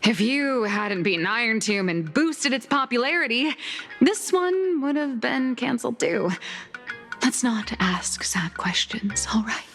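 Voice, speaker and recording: A woman's voice: fairly high pitch, lively intonation, a little slow, a bit bright. Young female comic artist, mock-scholarly, dramatic storyteller, anime game, reverberant, music behind. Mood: wistful. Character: theatrical young woman comic artist playing teacher.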